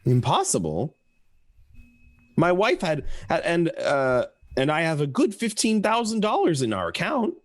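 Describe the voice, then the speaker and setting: A man's voice: fairly high pitch, huge pitch swings, unhurried, dry recording. A man playing a nervous, stammering husband with a guilty secret in a radio play. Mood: flustered, alarmed. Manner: hesitant, stammering, flustered. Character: nervous, henpecked-feeling husband with a guilty scheme.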